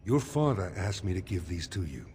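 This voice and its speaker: Deep, grave dwarf warrior. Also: male voice, big pitch swings, normal speed, a little mellow, faint music behind. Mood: solemn. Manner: grave, formal. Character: stern, dutiful male dwarf warrior in fantasy game.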